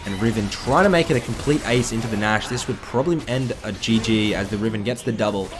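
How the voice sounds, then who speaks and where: A young man with a slightly croaky voice, casually commenting on a game replay from his desk, chatty with excitable bursts. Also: on the low side, fast, noisy background. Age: young adult. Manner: chatty, excitable bursts over calm analysis. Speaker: gaming commentator. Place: indoor room at a desk. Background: background music.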